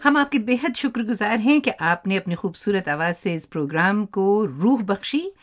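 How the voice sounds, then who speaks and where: A woman's voice: mid-range voice, lively intonation, moderate pace, soft background music. A woman presenting a radio show with a formal link between segments.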